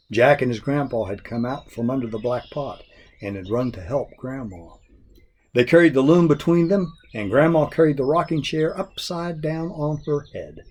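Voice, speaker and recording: Husky man reading a folk tale. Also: medium pitch, a little mellow. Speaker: story reader.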